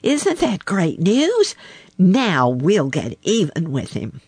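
An excited little male otter from a storybook, performed by a reader. He is cocky and insistent, his pitch leaping high with a slightly rough edge. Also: takes it slowly, no room sound, full character voice. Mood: triumphant.

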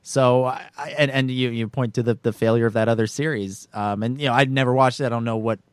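A man's voice: mid-range voice, fairly even intonation, quick pace, clear, resonant tone, dry recording. A man co-hosting a casual film discussion, hesitantly repeating and rephrasing his words as he thinks aloud. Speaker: podcast co-host.

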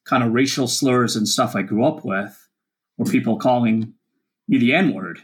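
A man in his fifties, originally from Kenya, telling his life story as an interview guest in a measured, reflective way. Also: medium pitch, husky.

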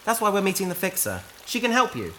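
A woman playing a patient, wry adventurer in a sci-fi audio drama, dryly and gently correcting an AI companion. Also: mid-range voice, wildly swooping pitch, quick pace, a little hiss or hum.

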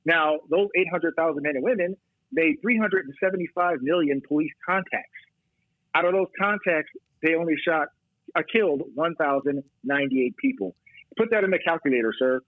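A man talking about policing as a podcast guest, telling stories fast and emphatically with quoted exchanges. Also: fairly high pitch, lively intonation, dry recording. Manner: fast, emphatic storytelling with quoted back-and-forth. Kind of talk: casual podcast chat. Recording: tinny phone-line audio.

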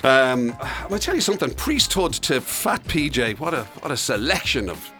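A man's voice: medium pitch, very animated, moderate pace, pushed and bright, soft background music. An Irish man co-hosting a radio podcast in casual comic banter.

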